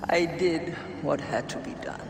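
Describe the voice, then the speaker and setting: An actor voicing a cold, unrepentant villain in a video game, with a haughty, measured delivery. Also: male voice, on the high side, fairly even intonation, creaky, echoey room, in a large hall, slight background noise. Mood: cold, defiant. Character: cold, unrepentant villain defending ruthless deeds. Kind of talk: video game cutscene acting.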